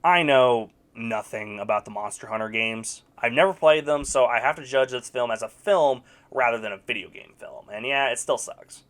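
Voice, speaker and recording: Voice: mid-range voice, moderate pace, hardly any pauses, fairly bright tone, soft background music. A young adult video reviewer delivering scripted movie commentary with sarcastic, blunt verdicts.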